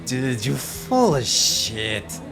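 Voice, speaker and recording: A tipsy, flirtatious barfly in a horror audio drama. His pitch swings around a lot as he delivers cheesy pick-up talk. Music is clearly audible behind him. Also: sing-song, very slow, exaggerated voice. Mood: tipsy, blunt. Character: inebriated, flirtatious married male barfly.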